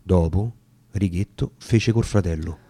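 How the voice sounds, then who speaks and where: A man's voice: sits low, measured pace, dark tone, dry recording, clean studio sound. A man with a Roman accent reciting narrative dialect sonnets as dramatic storytelling. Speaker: actor reading poetry.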